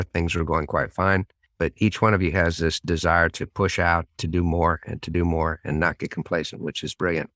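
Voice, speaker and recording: A man's voice: low voice, fairly bright tone, no echo, clean studio sound. A man hosting a podcast interview, summing up his guests' points in an encouraging way. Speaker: podcast host. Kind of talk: interview talk.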